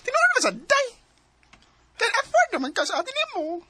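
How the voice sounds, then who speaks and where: An actress playing an excitable, gossipy but concerned young woman friend in a Cebuano Filipino radio drama. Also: very high-pitched, moderate pace, exaggerated voice. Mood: teasing, urging.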